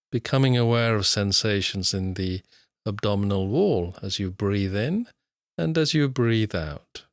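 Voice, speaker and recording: A man guiding a body scan meditation, speaking slowly and calmly with long pauses. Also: fairly low pitch, dry recording, studio quality. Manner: slow, calm, soothing, with long pauses. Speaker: mindfulness teacher.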